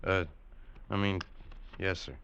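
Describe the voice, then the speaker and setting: A drawling rural American frontier trooper. He sounds folksy and doubtful, with a bright, hard edge. The vintage radio drama recording is dull and faintly hissy. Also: low voice, little pitch movement, slow, exaggerated voice. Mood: wary, doubtful. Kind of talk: old-time radio drama acting.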